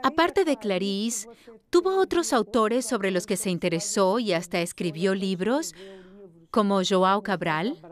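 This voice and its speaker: An actress voices a scholarly female interviewer in a dubbed conversation. Her pitch is medium for a woman. She is attentive and articulate, putting things in an inquisitive, conversational manner. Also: lively intonation, unhurried, natural voice. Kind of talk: Spanish voice-over dub of an interview.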